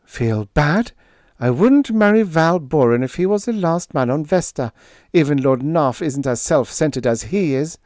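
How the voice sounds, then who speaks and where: Man voicing a high-pitched, wistful young princess. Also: lively intonation, moderate pace, a little mellow, no echo, clean studio sound, close to an everyday voice. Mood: indignant. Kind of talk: audiobook character voice.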